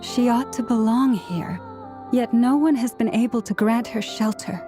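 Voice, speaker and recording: An actress playing a gentle, solemn village leader in a fantasy video game, measured and formal. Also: female voice, a little high, lightly acted. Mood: wistful. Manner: measured, soft-spoken, formal. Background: music.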